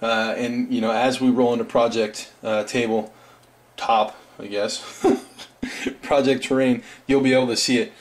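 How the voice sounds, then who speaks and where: A man in his thirties with a raspy voice, hosting a casual tabletop terrain review for his viewers, loose and chatty. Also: medium pitch, flat delivery, a little slow. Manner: loose and chatty, full of fillers and asides. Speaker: tabletop hobby channel host.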